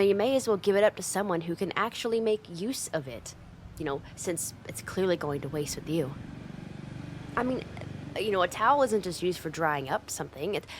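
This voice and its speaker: A woman as a brusque, low-voiced tsundere delinquent girl. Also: a little hiss or hum, natural voice. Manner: blunt, teasing, streetwise, with hesitant softening. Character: tough tsundere delinquent girl, brusque but secretly caring. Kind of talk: ASMR roleplay monologue addressed to the listener.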